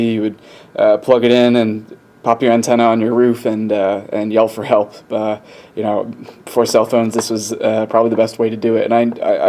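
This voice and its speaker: A man's voice: lowish voice, dark tone. A man giving a casual, unscripted walkthrough in an informal, hesitant way, as if thinking aloud. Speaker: hobbyist video presenter. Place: indoors at a workbench. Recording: light background noise with clicks.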